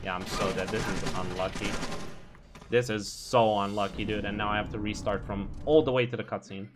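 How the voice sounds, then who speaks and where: A man's voice: on the low side, fairly even intonation, brisk, background noise. A Romanian man who sounds American, casually commentating his speedrun and chatting with viewers, easily exasperated. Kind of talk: casual live commentary over gameplay.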